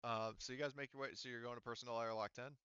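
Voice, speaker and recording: A man working as game master, talking casually and wordily, thinking aloud and halting as he narrates a tabletop game. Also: mid-range voice, steady tone, sharp tone, clear, resonant tone, no echo, muffled recording.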